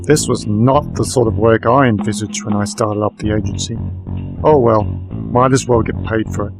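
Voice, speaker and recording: A man's voice: fairly low pitch, moderate pace, vocal fry, low-quality recording. A man playing a wry, streetwise private investigator in a radio play, laconic and colloquial. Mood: wry, reflective. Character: wry, streetwise male private investigator narrating his case. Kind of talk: radio play dialogue and noir detective narration. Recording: music under the voice.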